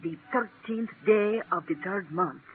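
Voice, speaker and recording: Slow, grave old fortune teller woman, played by an actress. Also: medium pitch, natural voice. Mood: mysterious, solemn. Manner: slow, halting, solemn pronouncements. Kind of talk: 1940s radio acting. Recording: muffled, narrow-band vintage 1940s radio recording.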